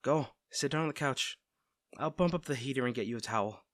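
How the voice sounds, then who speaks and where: A man playing a caring, teasing, protective best friend in a soft-spoken, conversational roleplay audio drama. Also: medium pitch, a little fast, no echo, studio quality, close to an everyday voice. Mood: casual, teasing. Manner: fussing, tender, conversational.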